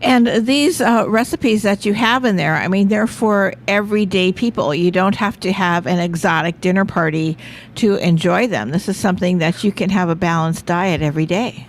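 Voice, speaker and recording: A woman's voice: mid-range voice, moderate pace, a little hiss or hum. A woman co-hosting a local radio interview, adding brief praise and questions. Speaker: radio co-host.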